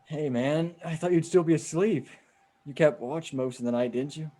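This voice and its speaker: An actor playing a weary, trusting husband and survivor in a table read, with a casual, understated delivery. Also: male voice, a little high, moderate pace, dark tone, natural voice. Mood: friendly.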